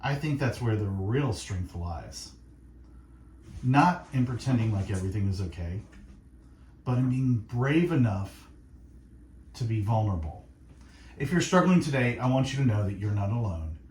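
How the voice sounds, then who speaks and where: Earnest male radio host, very expressive pitch. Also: roomy sound, a little hiss or hum, low-quality recording. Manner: earnest, reflective, speaking in short deliberate phrases. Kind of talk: faith-based encouragement monologue on music radio. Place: radio studio.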